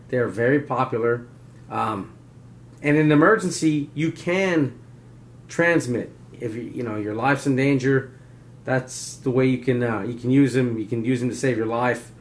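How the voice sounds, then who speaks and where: A man hosting a preparedness video, explaining in a casual, unscripted, folksy way, rambling and often checking in with "right?". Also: medium pitch. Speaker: survival and preparedness video host.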